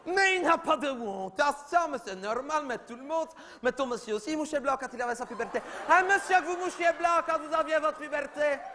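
A man's voice: very high-pitched, a little fast, few pauses, bright, forceful tone, slight background noise. A man voicing a chatty, oblivious middle-aged mother, rambling and gossipy in a comedy sketch. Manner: rambling, gossipy, repeats "oui, oui", talks over others.

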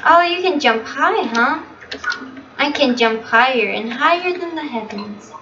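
A woman's voice: sits high, expressive, a little slow, background noise. A woman playing along in an improvised video game roleplay. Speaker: player voicing an angel in a Minecraft roleplay. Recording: muffled, distant mic under loud music. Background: music.

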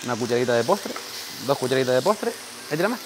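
A man's voice: mid-range voice, very animated, rapid-fire, noisy background. A man, a chef teaching a cooking class in a small kitchen and guiding an assistant step by step. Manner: practical, directs an assistant at his side. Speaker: chef giving a cooking demonstration.

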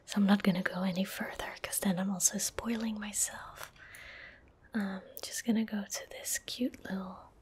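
A woman in her twenties giving calm, gentle ASMR game commentary in a breathy whisper, close to the microphone. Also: mid-range voice, moderate pace. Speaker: streamer narrating her gameplay. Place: indoor room at a gaming desk. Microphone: close desk microphone.

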